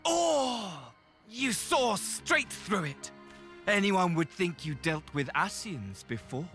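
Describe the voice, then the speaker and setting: A man's voice: sits high, very animated, slow, sharp tone, faint music behind, full character voice. A man playing a gleefully nihilistic, mocking video game villain with a theatrical, mock-courteous showman's manner. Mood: mocking delight. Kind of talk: video game villain acting, grandiose monologue.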